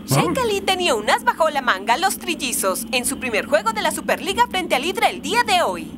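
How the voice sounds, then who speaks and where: An eager female TV reporter from a cartoon. She presents showily with lively, high pitch. Loud music runs underneath. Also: brisk. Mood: inquisitive. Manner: showy, excited presenting. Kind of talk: Spanish-dubbed cartoon broadcast.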